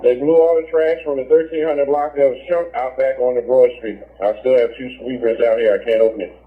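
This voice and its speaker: Male field unit on police radio, medium-pitched, thin phone-like scanner audio. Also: steady tone, brisk. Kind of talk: radio status report.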